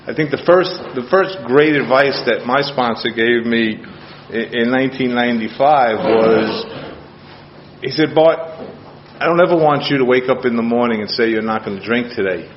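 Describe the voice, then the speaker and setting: A man from Queens talks to a room about his life, plain-spoken and halting, stumbling over restarts and choking up now and then. His voice sits at an ordinary pitch. The recording sounds dull and lo-fi, with a faint hum of the room behind him. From Queens, New York. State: choked up with emotion. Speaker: speaker at a recovery meeting. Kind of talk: personal recovery talk to a group. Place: meeting room.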